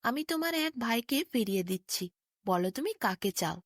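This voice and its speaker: Woman playing a boisterous male storybook demon, pitched high. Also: sing-song, moderate pace, dry recording, studio quality. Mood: satisfied. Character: boisterous male water demon, demanding yet just.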